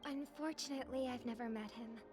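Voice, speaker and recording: High, gentle young woman played by an actress. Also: fairly even intonation, fast talker, close to an everyday voice. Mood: gentle, earnest. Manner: polite, diplomatic, earnest. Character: gentle, courteous, hopeful young woman idol. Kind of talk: video game voice acting. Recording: game audio with prominent background music.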